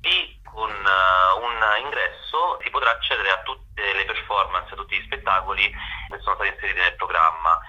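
A man's voice: low-pitched, moderate pace, pushed and bright. A man giving wordy, explanatory, spontaneous answers as a guest curator in a radio phone interview, searching for words as he goes, over a telephone line. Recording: dull, band-limited telephone line.

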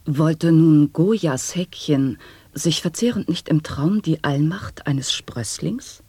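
A husky, earnest announcer of absurd arguments in an experimental radio drama. The delivery is formal and declamatory, at middle pitch, in a dry studio sound. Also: slow pace, warm tone, dry recording. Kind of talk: avant-garde radio play recitation of nonsense wordplay.

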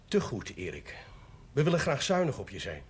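An actor playing a genial, authoritative parish priest, paternal and persuasive, in a 1970s Dutch television drama. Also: male voice, medium pitch, wildly swooping pitch, moderate pace, breathy edge, heavily acted. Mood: firm, persuasive.

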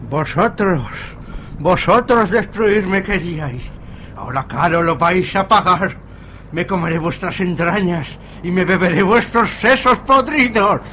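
A man's voice: high-pitched, expressive, unhurried, bright, forceful tone, slight background noise, full character voice. A man playing a menacing undead fetus monster in an amateur radio soap, speaking in inverted, archaic phrases. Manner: inverted, archaic word order in threats. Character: menacing undead male fetus monster, later sweet grandson.

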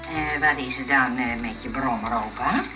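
An actress playing a brisk, practical, motherly woman who scolds and chivvies people in a comic radio play. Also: rumbling low, music in the background. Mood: brisk, scolding. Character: brisk, bossy, motherly woman keeping others in line. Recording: distant, dull, faintly noisy radio recording.

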